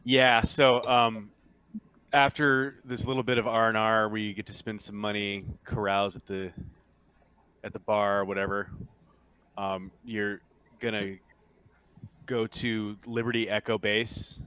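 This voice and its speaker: A man acting as game master, explaining the game casually and haltingly in an informal voice chat. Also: fairly low pitch, little pitch movement, bright, forceful tone, clean tone. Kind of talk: casual tabletop roleplaying narration and chat.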